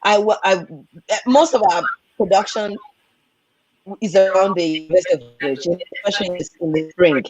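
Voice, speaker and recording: A woman with a Nigerian accent, a film and TV producer, telling animated stories as a guest on a video call. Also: mid-range voice, normal speed, no echo, muffled recording. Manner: animated, repeats words for emphasis. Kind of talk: candid storytelling in a conversation.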